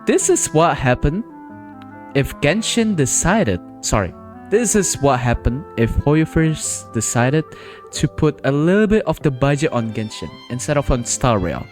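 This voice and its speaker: A male streamer reacting live to a game, excited and slangy, with lively up-and-down intonation and an unhurried, drawn-out delivery. Game music plays faintly under his voice. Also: on the high side.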